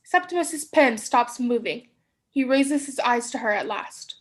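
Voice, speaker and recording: Casting: female stage-directions reader, notably high voice, scripted narration. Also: animated, unhurried.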